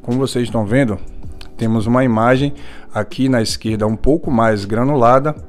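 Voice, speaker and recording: A man's voice: medium pitch, brisk. A man with a Brazilian accent giving a casual, explanatory product walkthrough as a tech reviewer, often checking in with small tag questions. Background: background music.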